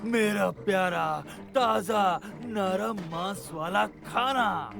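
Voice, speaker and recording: Cartoon villain wolf: hungry, gloating, high gravelly voice, music bed. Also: male voice, heavily acted. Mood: gloating, menacing. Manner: gloating, drawn-out threats and growling cries. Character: menacing, hungry male wolf hunting cubs for fresh meat. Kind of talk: Hindi cartoon dub acting. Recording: music under the voice.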